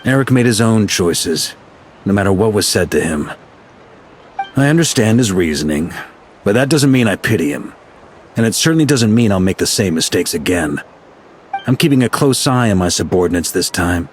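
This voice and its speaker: An actor playing a seasoned knight commander in a video game, measured and commanding, with a dry, teasing edge. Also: male voice, on the low side, lively intonation, a little hiss or hum, close to an everyday voice. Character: seasoned male knight commander, stern but wry. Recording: game audio with music underneath.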